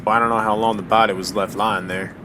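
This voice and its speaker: A man voicing a calm, practical male investigator in an old-time style radio mystery drama. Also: on the low side, fast talker, natural voice. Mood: tense. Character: steady male investigator on a train. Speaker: voice actor. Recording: noisy radio drama recording.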